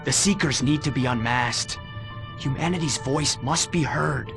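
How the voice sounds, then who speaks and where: A man playing a protective, burdened human hero in a video game, speaking earnestly and haltingly. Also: mid-range voice, creaky, music in the background. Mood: grave pleading. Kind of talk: dramatic scripted game cutscene acting.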